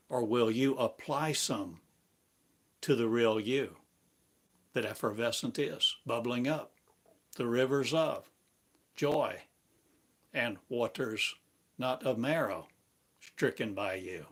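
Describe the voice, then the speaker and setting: A man giving a free-form spiritual sermon, chanting in drawn-out, incantatory phrases for a live stream. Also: medium pitch. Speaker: preacher. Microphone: live stream device.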